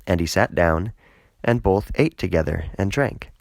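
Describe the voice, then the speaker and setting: A man reading scripture aloud as formal, measured scripted narration. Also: low-pitched, expressive, creaky, no room sound. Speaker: audiobook scripture reader.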